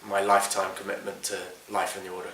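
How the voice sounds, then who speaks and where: A calm, steady friar with a low, flat, bright-toned voice. He is recorded from a distance in an echoey room. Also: fast talker, a little hiss or hum. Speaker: Dominican friar.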